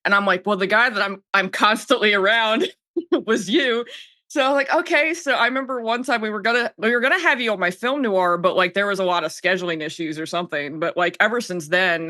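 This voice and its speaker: A woman in her thirties with an American accent talks as a podcast guest. Her voice is bright and sits at a middling pitch. She is chatty and candid in casual conversation, and the sound around her is dry. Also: brisk, dry recording. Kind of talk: casual chat.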